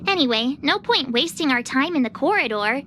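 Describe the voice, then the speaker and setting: A woman's voice: high-pitched, expressive, a little fast, background noise. A woman playing a chatty young female adventurer in a video game, bubbly and casual. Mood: perky. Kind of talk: video game character acting.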